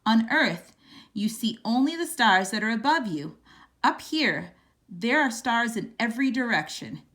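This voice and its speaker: A woman reads a children's picture book aloud. Her voice sits a little high. She tells it in a measured, expressive way, as a storybook reader does.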